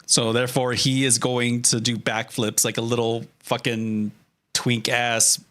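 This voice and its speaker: A man's voice: mid-range voice, little pitch movement, measured pace, fairly bright tone. A man chatting casually on a livestream as he works, with a joking, self-deprecating manner and loose, sweary phrasing. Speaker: streamer, storyboard artist. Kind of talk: casual livestream chat while drawing.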